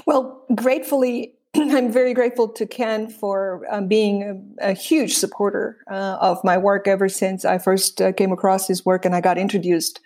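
A woman from Romania, a guest in a conversational interview, speaking reflectively with many pauses and restarts. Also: medium pitch, flat delivery, a little mellow.

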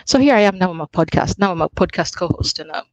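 A woman's voice: lowish voice, lively intonation, quick pace, dry recording. A woman from Uganda calling in to a live online show, explaining her own project. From Uganda, East Africa. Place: online video call. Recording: dull, lo-fi online call.

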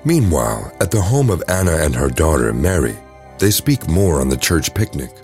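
A man's voice: a bass voice, big pitch swings, moderate pace, a little mellow, soft background music. A man narrating an audio drama from a script, setting each scene.

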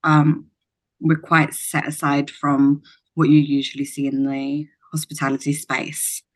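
Low-voiced woman hotel manager. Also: completely flat delivery, unhurried. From Kosovo. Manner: reflective, with frequent ums. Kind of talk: podcast interview answers.

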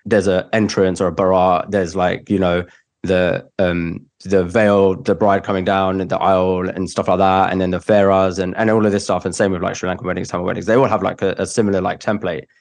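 A man's voice: sits low, flat delivery, fairly quick, darkish tone. A young man hosting a podcast, chatting casually and rambling with lots of "like". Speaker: podcast host, wedding photographer.

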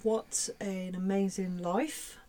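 A woman co-hosting a podcast in casual chat, thanking listeners warmly and effusively, with many hesitant fillers. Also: mid-range voice, fairly even intonation, takes it slowly. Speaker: podcast co-host.

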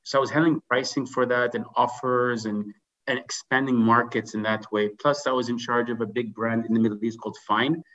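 A man's voice: mid-range voice, steady tone, brisk, fairly bright tone. Middle-aged man, slightly dull audio. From grew up in Dubai and Canada. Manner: conversational, often tags phrases with "right?". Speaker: podcast guest, insurance business executive. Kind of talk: casual interview storytelling.

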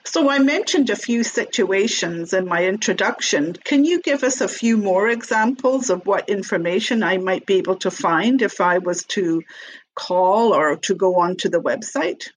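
A woman interviewer asking measured, clear questions. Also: mid-range voice, expressive, poor audio.

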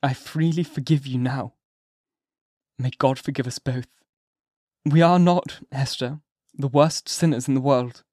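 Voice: medium pitch, fairly even intonation, a little slow, a little mellow, no echo, studio quality, lightly acted. A reader voicing a guilt-stricken male minister who speaks in grieving, old-fashioned phrases. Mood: sorrowful. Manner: grieving, archaic speech. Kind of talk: audiobook character voice.

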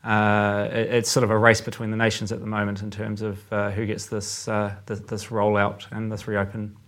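A man with a New Zealand accent talks through currency markets in a low, steady voice that barely rises or falls. He hedges and pauses often, filling gaps with "um" and "you know". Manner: measured, hedging with many fillers. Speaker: FX dealer, podcast guest. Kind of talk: casual finance chat.

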